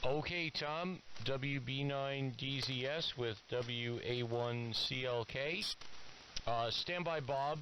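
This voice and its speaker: A man from New York talking casually as an amateur radio operator over shortwave, chatty and rambling. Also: mid-range voice. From New York, USA. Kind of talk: casual ham radio exchange. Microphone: transmitted over AM shortwave radio. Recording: hissy AM shortwave radio transmission.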